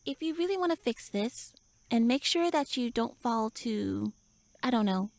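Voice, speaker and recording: High-pitched pouty tiny elf. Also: female voice, expressive, unhurried, creaky, a little mellow, no room sound. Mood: huffy, defensive. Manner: flustered, indignant, huffy, stammering. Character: tiny pouty tsundere elf woman with little wings. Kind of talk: roleplay audio drama addressed to the listener.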